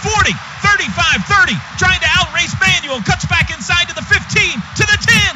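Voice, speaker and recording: An American man doing radio play-by-play of a football game in clipped present-tense phrases, excitable on big plays. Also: extremely high pitch, normal speed, loud music behind the voice. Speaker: play-by-play announcer. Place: stadium radio booth. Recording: dull, noisy stadium radio broadcast.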